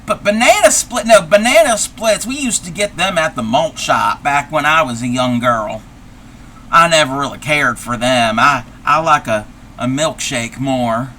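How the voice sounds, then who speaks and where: A man's voice: sits high, pushed and bright, slight background noise, full character voice. A man voicing a chatty, forgetful old grandmother who rambles, repeats herself and reads viewer chat aloud while crafting on a livestream. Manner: rambling, loses her train of thought, repeats herself. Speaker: streamer.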